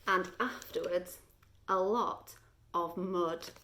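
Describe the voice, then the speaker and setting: A woman reading a children's story aloud like a teacher, deliberate and expressive, with dramatic pauses. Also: lowish voice, slight background noise.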